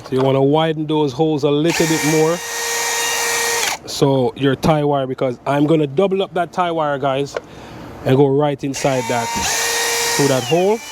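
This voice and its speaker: A man's voice: on the high side, normal speed. Stuffy guy with a cold doing a chill diy vlog outside, chest cam, lots of outdoor noise. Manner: relaxed, repeats "so" and "guys" often, explains step by step. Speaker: electrician vlogger. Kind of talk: casual how-to vlog. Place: outdoors on a sunny waterfront dock. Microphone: chest-mounted action camera. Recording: outdoor noise with traffic and air conditioning.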